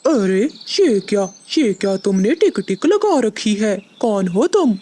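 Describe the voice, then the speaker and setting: A woman's voice: medium pitch, very animated, unhurried, mellow. A woman voicing a greedy, domineering male crow, the villain of a children's cartoon. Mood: bossy, threatening.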